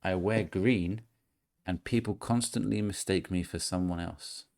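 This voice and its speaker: Male podcast host, very low and gravelly, casual quiz-master delivery. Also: a little slow, a little mellow.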